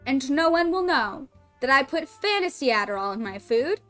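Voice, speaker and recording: A woman's voice: high-pitched, big pitch swings, normal speed, a bit bright, soft background music. A young woman streaming a game, joking and swearing in casual chat. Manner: jokey and playful, swears freely.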